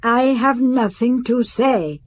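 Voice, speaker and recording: An actress playing a devout, guarded housekeeper in a radio drama, speaking formally and haltingly. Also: female voice, fairly high pitch. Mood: guarded, stubborn. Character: devout, guarded spinster housekeeper to a French count.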